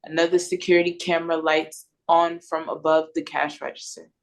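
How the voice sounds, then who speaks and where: A woman's voice: low voice, flat delivery, a little fast. A woman reading stage directions as narrator in a scripted read-through over a video call.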